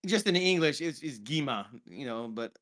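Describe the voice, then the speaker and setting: A man co-hosting a casual movie chat, his voice fairly high and bright. He rambles through explanations and often restarts his sentences. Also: expressive, moderate pace. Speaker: podcast co-host. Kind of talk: casual film review chat.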